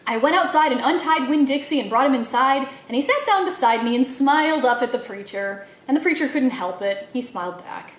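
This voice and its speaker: Female storyteller, high lively voice, expressive children's read-aloud narration, roomy echoey sound. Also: brisk, few pauses. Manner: expressive, acts out dialogue. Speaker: library storyteller reading a children's novel aloud.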